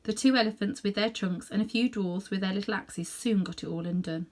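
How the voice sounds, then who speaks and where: Expressive woman reading a children's story. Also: mid-range voice, fairly quick, a little hiss or hum. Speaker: storybook reader. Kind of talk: expressive read-aloud narration for children.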